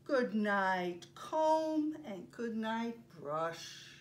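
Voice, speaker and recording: Slow-paced older woman, lively high pitch. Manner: simple, deliberate phrasing with pauses. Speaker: children's story time presenter. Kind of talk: read-aloud and craft demonstration for young children. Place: carpeted home living room. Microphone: camera a few feet away. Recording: distant mic, faint room noise.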